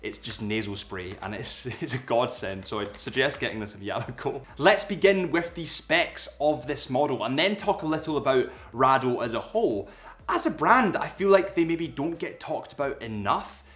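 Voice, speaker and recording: A man's voice: mid-range voice, animated, normal speed, fairly bright tone. A young man with a cold and a slightly nasal voice, chatting casually and a little ramblingly as he reviews a watch to camera. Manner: chatty and rambling, with self-aware asides.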